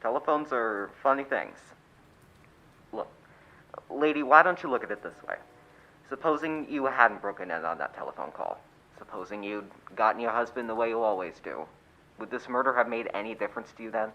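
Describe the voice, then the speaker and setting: A man's voice: lowish voice, lively intonation, fairly quick. A man playing an unimpressed, patronising police desk officer in a suspense radio play, matter-of-fact, with muffled sound. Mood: skeptical, patronising.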